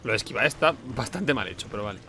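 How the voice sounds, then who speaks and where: A man's voice: lowish voice, animated, very fast, background noise. A man with an accent from Spain giving casual, sarcastic gameplay commentary as a streamer. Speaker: video game streamer.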